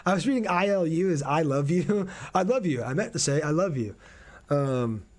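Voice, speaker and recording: A man's voice: fairly high pitch, expressive, normal speed. A man doing casual live gameplay commentary as a streamer, chatty, thinking aloud and reading out viewer messages.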